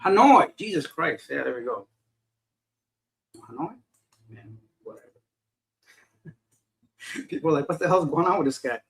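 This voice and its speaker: A middle-aged man with a slightly raised pitch chats casually on a livestream, reading comments and answering them. He rambles and loses his thread, poking fun at his own foggy, tired state. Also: expressive, unhurried, gravelly, pauses often, a bit bright. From Afghanistan. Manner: rambling, loses his train of thought, self-deprecating. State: tired and unfocused. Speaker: livestream host reading chat. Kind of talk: casual live chat.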